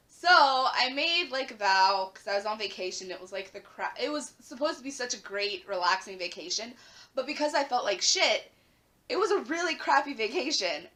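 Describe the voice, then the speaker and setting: A young woman vlogging casually to her camera at home, her voice raspy and a little hoarse after the flu, chatting with plenty of "like" fillers. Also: on the high side, pushed and bright. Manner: chatty, lots of "like" fillers, rising emphasis.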